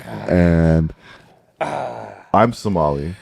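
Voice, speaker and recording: A man's voice: low-pitched, sing-song, gravelly, darkish tone, breathy edge, dry recording. A man hosting a casual podcast, asking questions with many ums and restarts. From Canada. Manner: halting, full of ums and restarts. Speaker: podcast host.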